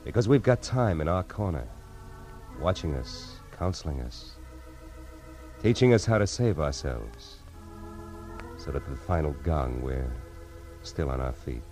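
An actor playing a world-weary, hard-boiled private detective with an American accent, speaking deliberately in 1940s radio noir style. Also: male voice, rumbling low, expressive, darkish tone, soft background music, heavily acted. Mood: reflective.

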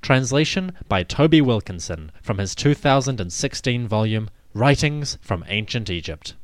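Animated male history narrator, dry sound. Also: medium pitch, moderate pace, dry recording. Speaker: podcast host and narrator. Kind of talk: scripted history narration and dramatic reading.

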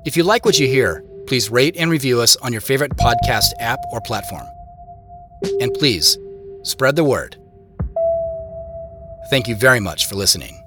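A man's voice: mid-range voice, lively intonation, normal speed, long pauses. Man narrating scripted audio over music. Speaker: writer, narrator and lead actor of an audio drama.

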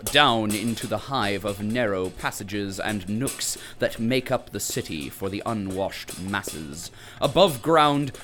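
A man's voice: on the low side, a bit bright. A man narrating an audio drama in a grave, scripted storytelling manner.

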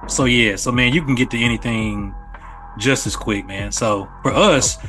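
A man with a bright, forceful voice of average pitch talks casually on a podcast. He explains as he goes, peppering it with familiar tags. Faint music runs underneath. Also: moderate pace. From Little Rock, Arkansas, USA. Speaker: podcast speaker. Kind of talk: casual chat.

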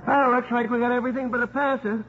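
An actor playing a doubtful, wry assistant football coach with a dry, hesitant manner in a 1950s radio drama. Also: male voice, very high voice, little pitch movement, fast, a crowd in the background. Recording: muffled vintage 1950s radio broadcast.

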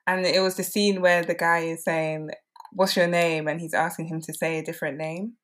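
Woman, 20s, podcast guest, slightly low voice, chatty, filler-heavy. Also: flat delivery, moderate pace. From England. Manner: chatty, peppered with "like". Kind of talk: casual conversational storytelling.

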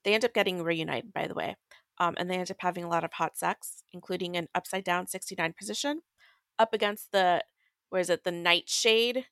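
A woman's voice: lowish voice, a little fast, a bit bright, no room sound, clean studio sound. A young adult woman hosting a podcast, recapping a book in casual, irreverent chat with crude humor and swearing. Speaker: podcast host.

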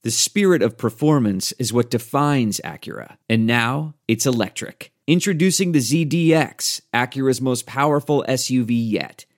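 A man with a mid-pitched voice delivers scripted commercial narration. He reads as an announcer for a car ad. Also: moderate pace, hardly any pauses. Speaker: advertisement announcer.

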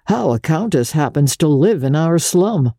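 Weary young literary man, played by a female narrator: cynical, blunt, lively pitch, dry sound. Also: a little slow, slightly rough, no echo, heavily acted. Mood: offhand, curious.

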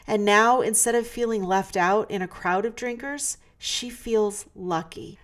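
A woman's voice: mid-range voice, measured pace. A woman who hosts a podcast and works as a coach, reading a prepared introduction and then asking her guest questions. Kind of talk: interview podcast.

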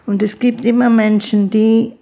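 A woman, an interview guest who speaks slowly and haltingly, often restarting her sentences. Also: on the high side, little pitch movement, creaky, dark tone, poor audio. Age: 50s. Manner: slow, halting speech with restarts. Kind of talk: casual conversation.

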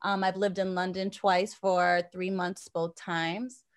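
A woman's voice: lowish voice, level tone, slow. A woman with an American accent speaking as an interview guest, telling personal stories conversationally and repeating phrases for emphasis. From born in Jamaica, grew up in Boston, USA. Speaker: podcast guest, anthropologist and professor.

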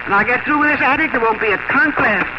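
An actress playing a wistful, sentimental middle-aged housewife in a 1950 radio drama. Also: female voice, a little high, fast, very noisy, natural voice. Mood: nostalgic. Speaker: lead actress. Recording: dull vintage radio broadcast with music.

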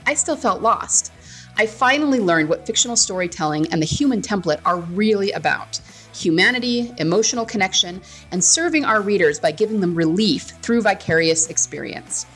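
A woman's voice: mid-range voice, a bit bright, husky. A woman hosting a writing podcast, speaking as a host and coach to her audience and guests. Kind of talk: scripted introduction, then casual interview.

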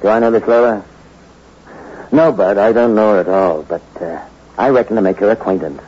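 Bitter hard-boiled young fugitive, low-voiced and slangy, narrating a vintage radio thriller on a dull recording. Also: male voice, a little fast, slightly rough. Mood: grim, determined. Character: bitter young fugitive convict hunting his wife for revenge. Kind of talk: old-time radio crime drama acting with narration.

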